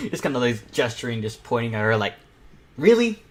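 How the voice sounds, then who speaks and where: A man's voice: mid-range voice, very animated, normal speed. A young man chatting casually on a live stream, thinking aloud as he draws. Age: teenager. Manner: rambling, thinking aloud while working. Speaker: panel host and artist. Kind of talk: casual chat while drawing on a live stream.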